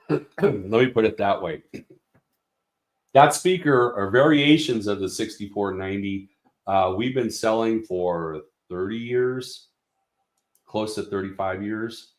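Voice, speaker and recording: A man's voice: fairly low pitch, slow pace, pauses often, reverberant. A man representing a product company, explaining speaker design in a casual technical walkthrough with many fillers and restarts.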